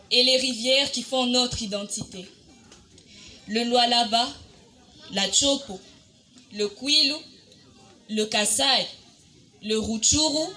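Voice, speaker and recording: A woman reciting a poem in a dramatic spoken-word style, solemn and declamatory, with deliberate pauses. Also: a little high, bright, forceful tone, rough recording. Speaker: poetry reciter. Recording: music bed under the voice. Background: music.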